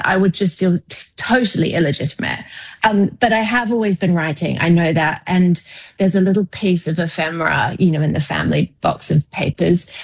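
A woman's voice: lowish voice, vocal fry, poor audio. A woman in her forties from New Zealand, a novelist guest speaking in a wry, reflective, articulate way with frequent "you know" asides. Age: 40s. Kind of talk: casual interview conversation.

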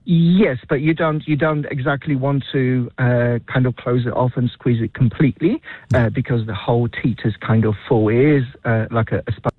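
A man's voice: mid-range voice, steady tone, normal speed, dry recording. A man with a Polish accent, calling in to a radio show, talking with lots of hesitant fillers and chuckles. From Poland. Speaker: caller. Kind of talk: casual radio phone chat. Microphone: telephone. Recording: tinny phone line.

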